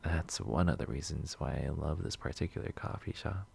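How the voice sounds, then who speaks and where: Man playing a shy, low husky coffee shop regular. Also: lively intonation, moderate pace, exaggerated voice. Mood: relaxed, chatty. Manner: gentle, hesitant, rambling. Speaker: roleplay audio performer. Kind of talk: soft-spoken roleplay ASMR.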